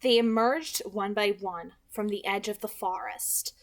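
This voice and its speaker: A woman's voice: mid-range voice, unhurried. Female amateur narrator with a middle-range voice, reading fiction aloud. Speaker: host and amateur audiobook reader.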